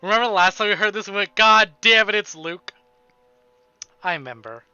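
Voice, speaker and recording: A man's voice: high voice, lively intonation, moderate pace, pushed and bright, clean tone, no echo, dull audio. A man live-streaming a visual novel, reading it aloud dramatically with casual, joking, sweary asides, over music. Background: game music.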